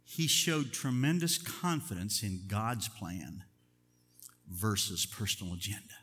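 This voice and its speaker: A middle-aged man, a pastor, preaching a sermon in an earnest, measured way, slowing down to stress key words. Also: on the low side, sing-song, fairly bright tone, breathy edge. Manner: earnest, measured preaching with deliberate slow emphasis. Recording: long reverb of a large hall.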